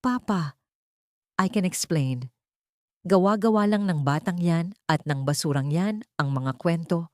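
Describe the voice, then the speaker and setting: A woman's voice: fairly low pitch, unhurried, dark tone, no echo, clean studio sound, close to an everyday voice. A woman voicing an arrogant, scornful young heiress, the villain of an audiobook drama, who slips into English. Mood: defensive. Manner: haughty, scornful, switches into English.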